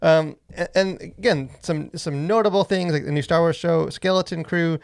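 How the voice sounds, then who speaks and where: A man's voice: fairly high pitch, moderate pace, vocal fry, clear, resonant tone, no room sound, clean studio sound. A man co-hosting a podcast, chatting casually about pop culture in an informal, rambling, filler-heavy way. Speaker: podcast host.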